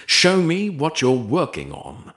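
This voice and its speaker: Man as romance heroine, bold, uneasy, direct, challenging, slow delivery, very varied intonation. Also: on the high side, huge pitch swings, a bit bright, voice pushed up, full character voice. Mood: tense, direct. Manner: direct, short challenging lines. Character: frightened but bold woman drawn to danger.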